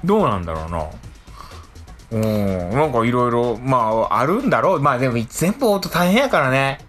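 A man co-hosting a radio show, chatting casually and colloquially, quick to agree and react. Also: medium pitch, big pitch swings, fairly bright tone, soft background music.

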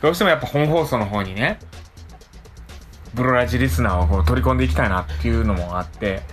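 A man hosting a radio show in casual, conversational chat, good-natured and quick to protest. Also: medium pitch, soft background music.

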